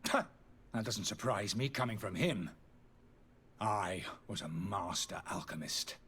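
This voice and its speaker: An actor playing a disgraced, indignant alchemist in a fantasy video game, pleading and justifying himself theatrically in a slightly husky voice. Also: male voice, on the low side, lively intonation, slow pace, a bit bright, slight background noise. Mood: bitter, indignant. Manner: agitated, defensive, theatrical delivery.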